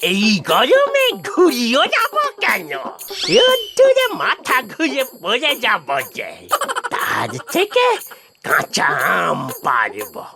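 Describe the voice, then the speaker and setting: A woman's voice: fairly high pitch, huge pitch swings, slow, creaky, music in the background, full character voice. A woman voicing a cranky, mischievous, hard-of-hearing old grandparent in a comic Bengali cartoon, scolding and exclaiming in a muddled way. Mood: scheming, stubborn.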